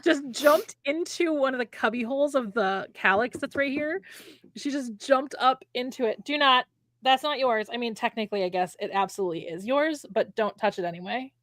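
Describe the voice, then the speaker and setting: A woman's voice: a little high, moderate pace, fairly bright tone, dry recording. Chatty American woman, dry close sound. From United States. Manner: chatty storyteller, laughs often. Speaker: podcast co-host, author. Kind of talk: casual chat between friends.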